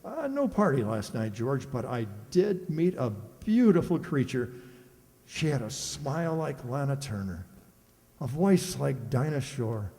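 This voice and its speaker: A man's voice: medium pitch, sing-song, measured pace, dark tone. An amateur actor playing a boastful, girl-crazy, teasing bachelor pal in an old-time radio comedy. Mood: breezy, smitten. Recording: echoey hall with long reverb.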